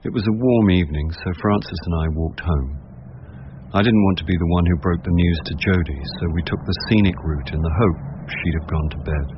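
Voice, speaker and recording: An English actor playing a wry, hard-drinking middle-aged actor turned amateur sleuth in a radio drama, with a dry, rueful manner. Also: male voice, low-pitched, a little fast, natural voice. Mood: wry narrating. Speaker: lead actor and narrator. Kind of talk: radio drama narration and dialogue. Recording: muffled radio broadcast with clear noise.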